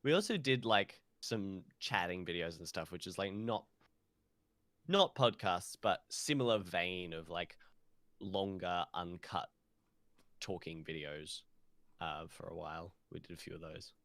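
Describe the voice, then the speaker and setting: A young man with a lowish, bright voice, recorded very dry with no room sound. He speaks in the relaxed back-and-forth style of a casual podcast co-host. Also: a little slow, no room sound. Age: young adult.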